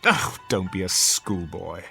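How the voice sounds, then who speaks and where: An actor playing a cruel, sardonic party host in a radio drama, speaking with pompous, arch, biting wit. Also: male voice, sits low, lively intonation, a little slow, vocal fry, sharp tone, soft background music, full character voice.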